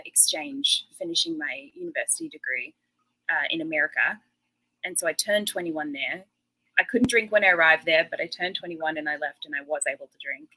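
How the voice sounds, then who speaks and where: A woman's voice: lowish voice, sharp tone, rough recording. An Australian woman in her thirties, a guest chatting casually and laughing often. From Western Australia. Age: 30s. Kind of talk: casual interview chat.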